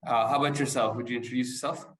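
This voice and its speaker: A man's voice: mid-range voice, steady tone, very fast, fairly bright tone. Joking moderator man in an echoey room. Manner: friendly, joking prompts. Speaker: panel moderator. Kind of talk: moderating a panel.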